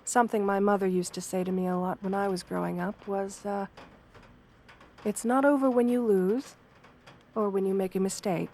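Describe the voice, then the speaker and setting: A woman playing a kind, professional wolf girl therapist in an ASMR roleplay, soft-spoken, gentle and measured in her reassurance. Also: mid-range voice, steady tone, close to an everyday voice. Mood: caring, reassuring.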